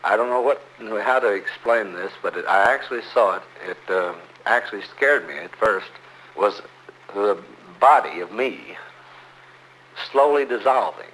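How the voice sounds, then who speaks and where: A male patient with a lowish voice describes what he went through in halting phrases. The old film recording carries slight noise. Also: expressive, a bit bright, low-quality recording. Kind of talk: halting personal account.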